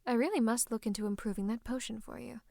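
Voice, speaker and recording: A woman playing a scheming royal advisor in a fantasy roleplay, speaking close to the listener in a coaxing, teasing, falsely comforting way with breathy asides. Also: on the high side, fairly even intonation, quick pace, a little mellow, no room sound. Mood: soothing, suspicious. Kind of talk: roleplay ASMR monologue to the listener. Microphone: close microphone.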